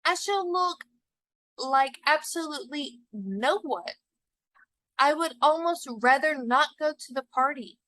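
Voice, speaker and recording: Storybook young wife, vain and discontented, self-pitying, high bright voice, played by a woman narrator. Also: expressive, slow, dry recording, heavily acted, poor audio. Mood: miserable.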